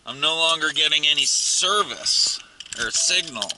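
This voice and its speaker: A man's voice: on the high side, animated, unhurried. A man giving a casual hands-on tutorial from inside a car, talking offhandedly as he works, with some grumbling and swearing. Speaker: amateur how-to video maker. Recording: clear vehicle noise and clicks.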